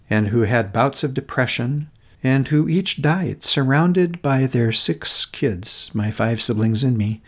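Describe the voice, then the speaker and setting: A middle-aged man, narrating a personal essay as a podcast host in an earnest, contemplative manner with deliberate, short phrases. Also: medium pitch. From Minnesota, United States.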